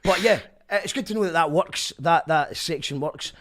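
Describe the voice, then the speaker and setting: A man's voice: fairly high pitch, normal speed, fairly bright tone. A man with a Scottish accent hosting an online live show in loose, casual chat that often restarts mid-sentence. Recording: background music playing under the voice.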